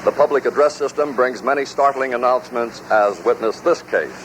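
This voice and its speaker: A male narrator reads scripted introductions at a medium pitch. The old radio recording carries a light layer of noise. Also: a little fast, fairly bright tone, rough recording. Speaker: narrator of a blooper compilation.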